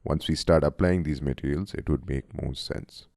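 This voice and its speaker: A man from India with a cold, narrating a friendly software tutorial and calling his viewers guys. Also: very deep voice, fairly even intonation, moderate pace, dry recording, studio quality. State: has a cold, voice deeper than usual. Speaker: software instructor. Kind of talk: screen-recorded tutorial narration.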